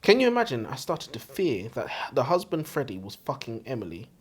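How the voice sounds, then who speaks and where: Animated, blunt man reviewing books. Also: medium pitch, a little fast, breathy edge. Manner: blunt, exasperated, sweary. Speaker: co-host of a book review show. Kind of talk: casual opinionated book review chat.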